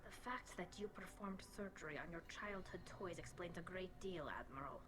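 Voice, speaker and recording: A woman's voice: lowish voice, moderate pace, natural voice. A woman playing an earnest, clever young alien engineer in a video game, speaking carefully. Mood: defensive, indignant. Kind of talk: video game dramatic dialogue. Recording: game dialogue over loud ship ambience.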